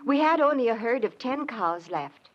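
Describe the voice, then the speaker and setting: An anxious homesteader wife and mother in an old radio western. Her voice sits fairly high and sounds earnest. The recording is dull and lo-fi. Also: expressive, normal speed. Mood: worried. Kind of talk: 1940s radio acting.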